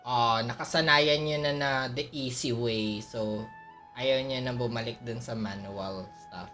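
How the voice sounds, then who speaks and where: A woman's voice: rumbling low, normal speed, music in the background. A woman with a Filipino accent giving playful, reactive game commentary as she streams. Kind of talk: casual playthrough commentary mixing Tagalog and English.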